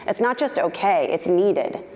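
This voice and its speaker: A woman's voice: fairly low pitch, lively intonation, unhurried, mellow. A woman moderating a panel discussion, upbeat and outgoing as she puts questions to the speakers. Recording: hall reverb with faint noise.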